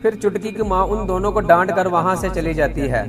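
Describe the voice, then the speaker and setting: High-pitched male storyteller over music. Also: fairly quick. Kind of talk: scripted story narration. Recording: music behind the voice.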